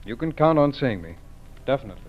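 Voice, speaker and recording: An actor playing a calm, shrewd male FBI agent in a 1940s radio crime drama, measured and courteous. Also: medium pitch, natural voice. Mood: polite, guarded. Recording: thin, narrow-band vintage radio broadcast.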